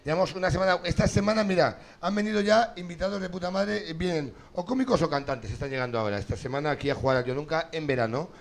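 Hombre de voz aguda y rasposa. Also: male voice, on the high side. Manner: swearing, teasing, quick jokes. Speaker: comedy show host. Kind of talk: loose stand-up banter with the audience. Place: live venue with an audience.

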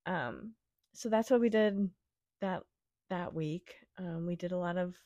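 A young woman hosting her own podcast, her voice raspy from a week-long cough, chatting in a gentle, reassuring, rambling way. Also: lowish voice, measured pace, dry recording, highs cut off. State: raspy from a cold. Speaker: podcast host. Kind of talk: casual solo podcast chat.

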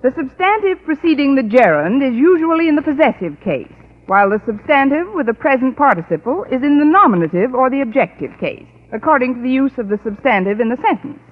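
An actress playing a wry, articulate English teacher with precise diction, in old-time radio comedy style. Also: female voice, sits high, expressive, fast talker. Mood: patient, lecturing. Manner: crisp schoolmarm diction, dry wit. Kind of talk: 1940s-50s radio sitcom acting. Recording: muffled old radio recording.

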